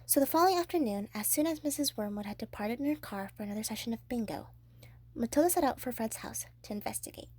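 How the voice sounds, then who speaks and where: A woman's voice: on the high side, mellow, slightly rough. A woman reading a children's story aloud as narrator. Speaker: volunteer audiobook reader.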